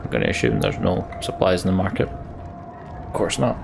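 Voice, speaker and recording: A man's voice: low voice, wildly swooping pitch, normal speed, vocal fry, background noise. A man commentating on a video game, reading its text aloud and adding dry, resigned asides, with music behind him. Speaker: let's play host.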